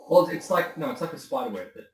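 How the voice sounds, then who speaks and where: A man's voice: mid-range voice, big pitch swings, fast, echoey room, recorded from a distance. A man guest talking casually about voice acting, rambling and correcting himself as he goes. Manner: rambling, self-correcting, chatty. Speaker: voice actor guest. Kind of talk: casual conversation.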